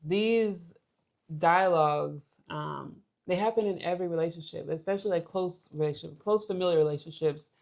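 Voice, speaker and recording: A young woman with a low register and a gravelly fry at the edges. She co-hosts a relationship podcast in a casual, conversational way. She playfully acts out example thoughts as she makes her points. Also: normal speed, a little mellow. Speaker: podcast co-host.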